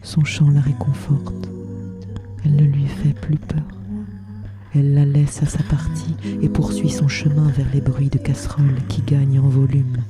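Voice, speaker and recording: A woman narrating literary audio fiction in measured short phrases, with a hushed, breathy voice. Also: low voice, flat delivery. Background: music.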